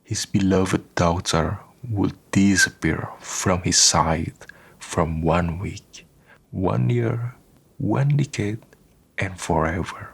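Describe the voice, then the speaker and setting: Very slow, low-voiced male narrator. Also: creaky, husky. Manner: solemn, deliberate storytelling. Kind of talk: scripted radio drama narration. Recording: voice over prominent music. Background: music.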